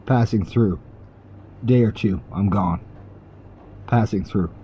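A man's voice: lowish voice, takes it slowly, muffled recording, a little hiss or hum. A man playing a cynical, defiant space vagabond in a science fiction audio drama, terse and sardonic. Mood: sardonic, guarded.

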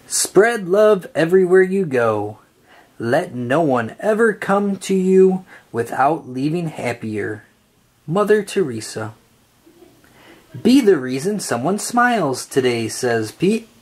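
Expressive, slow storybook reader, husky voice. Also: mid-range voice. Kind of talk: read-aloud of a children's picture book.

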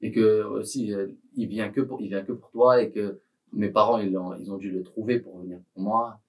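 A man's voice: lowish voice, steady tone, moderate pace. A young teenage boy, a podcast guest, talking hesitantly with many restarts and fillers. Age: young teen. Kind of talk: casual podcast interview. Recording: voice from a distance.

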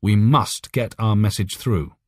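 A man voicing an authoritative alien leader, a chief scientist in an audiobook story, with a commanding, deliberate manner. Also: fairly low pitch, no echo, clean studio sound. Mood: resolute. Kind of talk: audiobook character reading.

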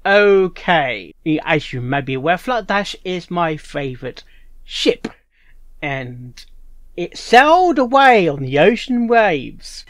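Excitable man reacting, lively high-pitched swings. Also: slow pace. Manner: excitable, interjecting, apologetic. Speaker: reaction video host. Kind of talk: casual live reaction commentary.

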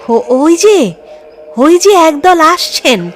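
A mournful, humble ghost of a temple priest played by a woman in an audio horror story. The words come extremely slowly and haltingly, with pitch that sways widely. There is an eerie, obsequious air to the drawn-out phrases. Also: very animated, soft background music, heavily acted. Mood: mournful, haunting. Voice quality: melancholy tone.

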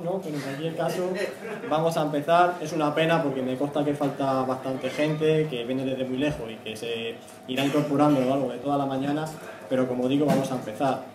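A man in his thirties from Spain giving a conversational public talk into a handheld mic, often tagging phrases with a questioning "no?". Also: fairly high pitch. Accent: Spanish from Spain. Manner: conversational, frequent "¿no?" tags. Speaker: guest speaker, YouTube analyst. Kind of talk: semi-prepared public talk. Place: small indoor meeting room. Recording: echoey room, distant mic, faint noise.

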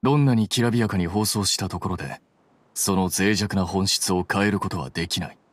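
An actor playing a coolly rational young scholar in a video game, explaining things in a measured, detached way. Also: male voice, low voice, no room sound. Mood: cool, composed. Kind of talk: Japanese video game voice acting.

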